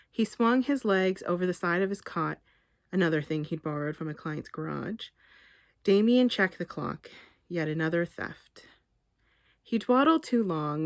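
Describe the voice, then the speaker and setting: Woman author reading her story out loud, kinda low voice, measured storyteller, really dry sound. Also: no room sound. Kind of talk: literary narration read aloud.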